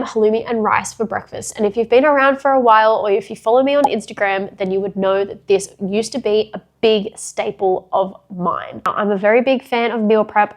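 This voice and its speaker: A young woman with a congested, sick-sounding voice, chatting frankly and upbeat through a cooking tutorial in her home kitchen. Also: mid-range voice. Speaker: fitness vlogger.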